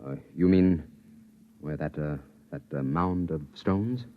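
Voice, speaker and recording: Muffled vintage radio play, earnest relief captain, deep, polite, hesitant, persuasive. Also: male voice, slow pace. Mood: uneasy. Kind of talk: 1950s radio drama acting.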